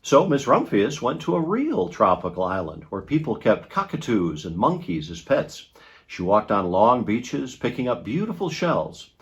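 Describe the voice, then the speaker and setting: A man reading a children's picture book aloud in an expressive storytelling style. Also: mid-range voice, moderate pace, muffled recording.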